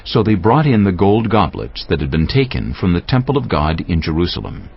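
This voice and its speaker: A man's voice: sits low, big pitch swings, brisk, gravelly, slight background noise. A man narrating a dramatized scripture reading as a scripted storyteller. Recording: muffled, with faint music.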